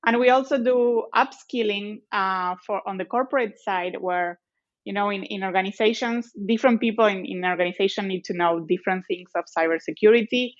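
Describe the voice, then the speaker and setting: A woman from Argentina with an Argentine accent, giving measured, explanatory interview answers as a guest. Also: mid-range voice. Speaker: guest, company executive. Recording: slightly dull lo-fi audio.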